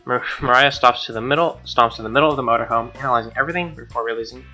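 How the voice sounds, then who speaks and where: A young man narrates a visual novel as he plays, in a bright, forceful voice. He drops in casual asides, and game music plays faintly behind him. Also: medium pitch, very fast, low-quality recording. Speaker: gamer reading a visual novel aloud. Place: small home room, indoors.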